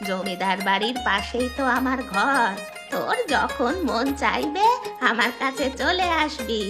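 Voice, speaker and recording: A woman acts out an old village granny in a cartoon folk tale. She is kindly and motherly, quick to chide the young ones fondly. Music plays clearly behind her words. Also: on the high side, expressive. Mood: reassuring. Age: older. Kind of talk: animated moral-story voice acting.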